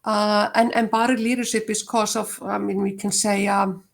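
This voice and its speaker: A woman's voice: medium pitch, level tone, moderate pace, gravelly. An older woman from Iceland with an Icelandic accent, answering interview questions in a reflective, casual way, laughing often. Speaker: guest, HR leader.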